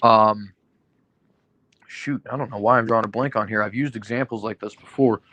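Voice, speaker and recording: A man's voice: fairly low pitch, steady tone, moderate pace, bright, forceful tone, a little hiss or hum. A man in casual discussion, getting over an illness, who explains with examples, hedges, and keeps asking "right?". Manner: explains with examples, hedging, frequent "right?" and fillers. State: recovering from illness, foggy.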